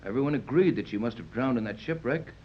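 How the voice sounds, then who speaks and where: A dull old radio-drama recording of a level-headed adventurer captain. His mid-pitched voice is authoritative and clipped, in 1930s serial style. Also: expressive, fast talker, slightly rough. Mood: grave, measured.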